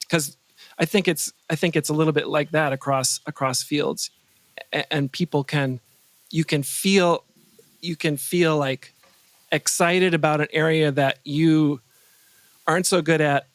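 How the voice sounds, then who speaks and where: Higher-voiced man, thoughtful and wry. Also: fairly even intonation, measured pace, dry recording. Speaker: podcast guest, composer and sound designer. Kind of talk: casual interview chat.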